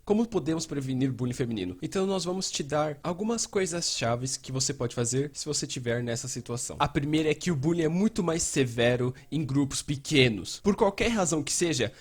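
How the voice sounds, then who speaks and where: A man's voice: fairly high pitch, a little fast, few pauses, slightly rough. A Brazilian man dubbing a frank, casual psychotherapist who explains things in a conversational way and acts out bits of dialogue. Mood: explanatory. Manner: animated, acts out quoted dialogue, casual swearing. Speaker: fan dubber voicing a mental health educator. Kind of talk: dubbed conversational explainer talk.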